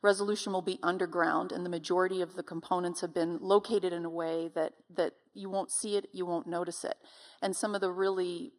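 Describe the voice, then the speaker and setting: A woman's voice: lowish voice, level tone, a little fast. A woman explaining things as a company representative in a panel discussion.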